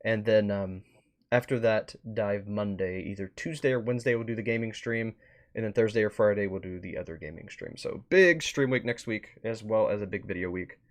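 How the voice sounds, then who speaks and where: Man, lowish voice, livestream host, casual, rambling, thinking aloud. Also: fairly quick. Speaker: streamer and host. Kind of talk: casual livestream wrap-up chat.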